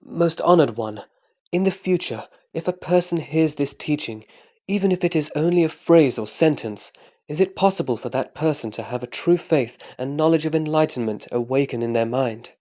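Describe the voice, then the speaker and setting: A man's voice: fairly high pitch, moderate pace, darkish tone, lightly acted. Man playing an earnest, reverent disciple. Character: Subhuti, respectful male disciple, earnest and humble. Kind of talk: scripted sutra audio drama.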